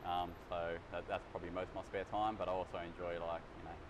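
A man's voice: low voice, little pitch movement, quick pace, noisy background. A young man in his twenties, an exercise physiologist, answering interview questions casually with many fillers and restarts, recorded in a large gym studio. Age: 20s.

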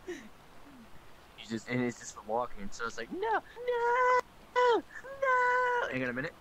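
A man's voice: extremely high pitch, huge pitch swings, a little slow, heavy background noise. A man streaming and chatting casually with a friend, loose, joking and profane. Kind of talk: casual chat while streaming.

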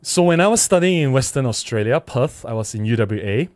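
A middle-aged man with a Malaysian accent hosts a casual livestream at a close desk microphone. His voice sits at a medium pitch, and the sound is dry with very little room. He talks conversationally, with emphasis, as he reads comments and responds to them. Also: animated, fairly quick, darkish tone, no room sound. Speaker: livestream host. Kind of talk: casual livestream chat, reading out viewer comments and replying. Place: small indoor room at a desk. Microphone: close desk microphone with windscreen.

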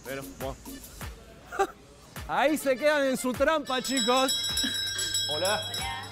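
A young man with an Argentine accent in a sketch comedy, talking in a dry, ironic deadpan. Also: very high voice, slow, over music. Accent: Argentine Spanish.

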